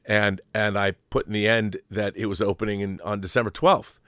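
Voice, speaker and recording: Middle aged american guy chatting movies, lowish voice, dry close sound, strong opinions. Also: little pitch movement, a little fast, a bit bright, no echo. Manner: opinionated, emphatic, asks rhetorical questions. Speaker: talk show co-host. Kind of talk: casual film discussion.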